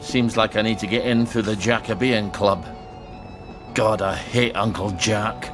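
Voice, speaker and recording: An actor playing a sardonic, stubborn, grumbling outcast with a British accent in a video game. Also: male voice, fairly low pitch, steady tone, unhurried, over music, natural voice. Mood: weary, bitter. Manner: grumbling, sarcastic. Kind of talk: video game character acting.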